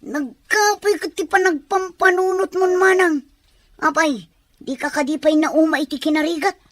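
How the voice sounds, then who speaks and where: Actress as scheming greedy woman, high voice, coaxing, insistent, dry close recording, audio drama. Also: fairly even intonation, normal speed, fairly bright tone, no echo. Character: scheming, money-hungry woman plotting a fraud. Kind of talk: amateur audio drama acting.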